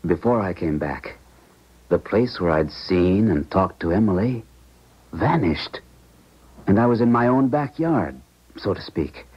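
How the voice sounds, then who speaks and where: A troubled widowed scientist in a 1970s radio play. His voice is low and husky, earnest and full of grief, on a dull old recording. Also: animated, measured pace, long pauses, exaggerated voice. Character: grieving scientist, unsettled, longing widower. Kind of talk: 1970s radio drama acting.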